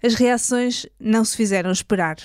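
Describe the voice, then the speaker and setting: A woman's voice: on the high side, normal speed, no room sound, studio quality. A woman with a European Portuguese accent hosting a podcast, reading a scripted documentary narration. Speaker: podcast host.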